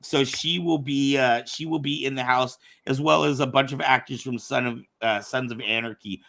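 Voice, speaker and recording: A man's voice: medium pitch, steady tone, normal speed, creaky, fairly bright tone. An American man hosting a talk show in a casual, conversational style. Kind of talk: casual podcast chat.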